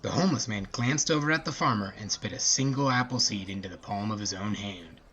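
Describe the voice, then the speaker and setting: A man's voice: fairly low pitch, fairly quick, fairly bright tone. A man hosting a podcast and telling a scripted story in a casual narrator's style. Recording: slightly muffled, music behind. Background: music.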